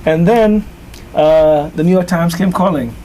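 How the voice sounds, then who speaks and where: A man from Haiti, founder of a newspaper, answering interview questions in a measured storytelling way. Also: fairly high pitch, mellow, slight background noise, poor audio.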